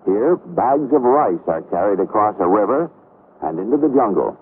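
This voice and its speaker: An American man reading a scripted 1960s military training film narration in a formal, authoritative, measured way. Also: lowish voice, very animated. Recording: muffled, noisy vintage film soundtrack.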